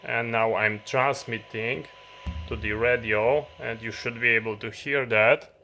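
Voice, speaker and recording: A man who is a non-native English speaker, giving a halting, unscripted hands-on explanation of a piece of gear as a video host. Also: medium pitch, fairly even intonation, pushed and bright, faint music behind. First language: not English. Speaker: host of an electronics review channel. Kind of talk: unscripted hands-on product demonstration. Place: indoor electronics workbench.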